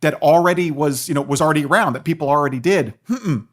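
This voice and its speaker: A man's voice: on the high side, quick pace. An American man speaking as an expert podcast guest, explaining conversationally and animatedly, often checking in with the listener. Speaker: guest expert, philosophy professor and author.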